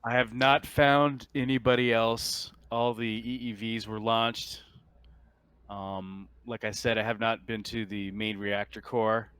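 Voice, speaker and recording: A man's voice: lowish voice, level tone, a little slow, fairly bright tone, smooth, dry recording. A man acting as game master in a tabletop roleplaying session, explaining things in a matter-of-fact way.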